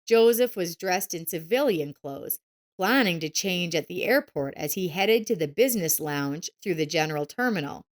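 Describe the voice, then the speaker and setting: A woman's voice: lowish voice, animated, moderate pace. A woman narrator reading a scripted story.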